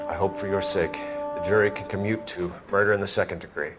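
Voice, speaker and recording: An actor playing an earnest, stern young police detective in a video game, speaking formally and forcefully. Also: male voice, low voice, fairly even intonation, a little fast, over music, natural voice. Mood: solemn. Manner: formal, clipped, forceful in questioning. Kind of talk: video game dramatic acting.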